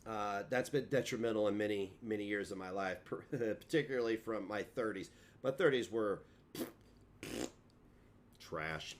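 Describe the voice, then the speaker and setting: A man's voice: lowish voice, measured pace. A man, chatting casually on a livestream in a rambling, self-mocking way and tripping over his words. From Kentucky.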